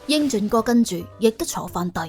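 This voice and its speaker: A woman narrating an audiobook in colloquial Cantonese, telling the story in a wry, dramatic, expressive way. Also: a little high, a little fast, soft background music.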